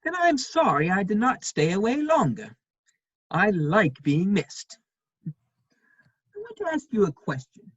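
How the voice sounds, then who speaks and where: A woman's voice: mid-range voice, huge pitch swings, unhurried, long pauses, breathy edge, heavily acted. A woman playing an idle, flippant dandy lord in a period stage comedy, dry in manner, on a slightly muffled microphone. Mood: flippant, teasing.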